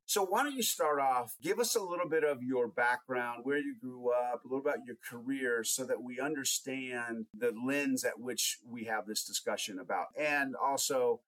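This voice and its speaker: A man's voice: medium pitch, moderate pace, hardly any pauses, fairly bright tone, no room sound, rough recording. A man hosting a podcast, introducing and questioning a guest in a conversational way. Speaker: podcast host.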